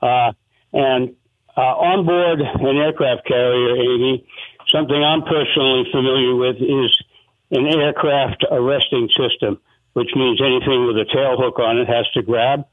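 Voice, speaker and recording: A man calling in to a radio show over a tinny, phone-like line. The sound is dry and close, and his voice sits at an ordinary pitch. He rambles politely and keeps thanking the guests. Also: fairly even intonation, unhurried, no echo. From Montana. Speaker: caller. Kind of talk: radio call-in question. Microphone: telephone.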